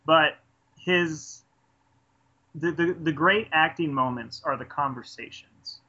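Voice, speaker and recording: A man's voice: a little high, slow pace, bright, forceful tone, slightly rough. A man casually reviewing a film in conversation, enthusiastic and rambling, often restarting his sentences. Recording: dull lo-fi podcast audio.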